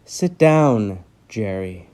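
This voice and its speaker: A man acting in a horror audio play as a controlling, condescending doctor with an imperious, deliberate delivery. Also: fairly low pitch, lively intonation, darkish tone. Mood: smug, domineering. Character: menacing, controlling male conversion doctor, cold and condescending.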